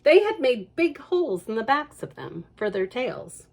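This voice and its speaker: Role: children's librarian. Style: storytime read-aloud. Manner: expressive, chatty asides, lively lilting voice, a little high. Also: female voice, measured pace, vocal fry.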